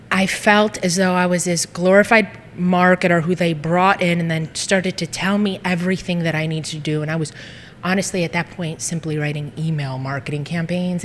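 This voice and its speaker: Woman, 30s, first language Greek, robust lively storyteller, lowish voice, echoey reverb. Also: a little hiss or hum. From United States. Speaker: guest, social media business owner. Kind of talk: casual interview conversation.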